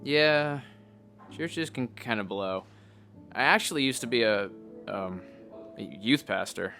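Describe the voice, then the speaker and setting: An actor playing an easygoing, curious former youth pastor in casual, naturalistic audio drama dialogue. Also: male voice, mid-range voice, lively intonation, slow, gravelly, sharp tone, faint music behind, full character voice. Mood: sympathetic, wry. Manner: casual, chatty.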